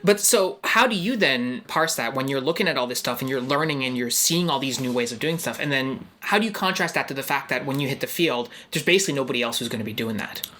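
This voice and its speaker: A man co-hosting a podcast in a studio, chatty and quick to laugh, asking the guest questions. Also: medium pitch, lively intonation, sharp tone, slight background noise. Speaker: podcast co-host. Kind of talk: casual chat.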